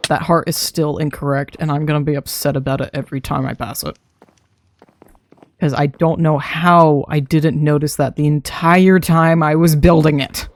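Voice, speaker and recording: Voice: medium pitch, no echo. A young adult streamer chatting casually to themself while playing a game, laughing often, throat a little dry. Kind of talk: casual live gameplay commentary.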